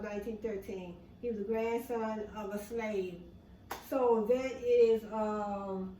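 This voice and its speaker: A woman reading history notes aloud, halting with many fillers, rereading passages and then restating them in plain words. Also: medium pitch, dark tone, distant mic, lo-fi sound. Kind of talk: casual reading and explaining aloud. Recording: echoey room, voice off-mic, faint background hum.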